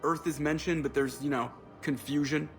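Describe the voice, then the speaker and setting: Man as a wry, higher-voiced game crewman. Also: steady tone, moderate pace, close to an everyday voice. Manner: sardonic, casual. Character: wisecracking male starship pilot, wry and sarcastic. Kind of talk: video game dialogue. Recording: background music.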